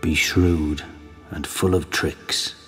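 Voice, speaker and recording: A man playing a solemn, commanding, godlike figure of myth in a radio drama, speaking gravely and with measure. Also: extremely low pitch, steady tone, slow, fairly bright tone, soft background music, full character voice. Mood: solemn, foreboding.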